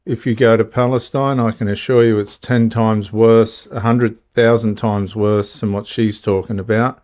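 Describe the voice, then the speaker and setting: A man's voice: on the low side, fairly even intonation, normal speed, darkish tone. A man hosting the show, reading a scripted introduction and then cutting in with argumentative commentary. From Australia. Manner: argumentative, interrupts guest. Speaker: host.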